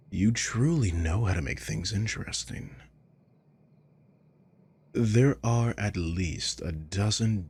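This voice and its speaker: Sadistic male villain acted by a man, ASMR roleplay. Deep voice, unhurried delivery, cool menace under mock care. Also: exaggerated voice. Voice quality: breathy, husky. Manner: slow, deliberate, taunting. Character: sadistic male villain, coolly menacing, mockingly caring captor. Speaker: audio roleplay voice actor.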